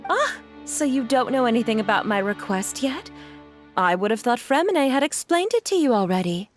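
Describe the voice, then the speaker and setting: A woman playing a gentle, genteel mother and employer in a video game, speaking politely and in measured phrases over music. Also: fairly high pitch, very animated. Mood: polite, thoughtful. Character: refined, gentle, courteous mother and wealthy employer.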